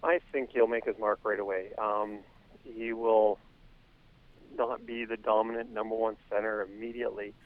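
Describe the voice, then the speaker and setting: Low-voiced, halting man, a hockey coach. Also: little pitch movement, narrow, tinny audio. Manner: hesitant, halting, measured. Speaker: hockey coach, interview guest. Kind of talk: phone interview. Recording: phone interview with music underneath.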